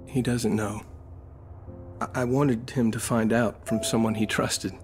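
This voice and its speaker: An actor playing a careful army doctor in a war game, speaking gravely and hesitantly. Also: male voice, fairly low pitch, flat delivery, measured pace, music in the background, lightly acted. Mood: grave, reluctant. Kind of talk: dramatic game cutscene acting.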